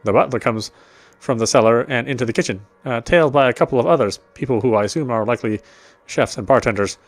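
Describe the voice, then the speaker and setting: A man's voice: medium pitch, fast talker, dry recording. A man reading visual novel narration aloud as a let's player, sometimes stumbling and rereading phrases.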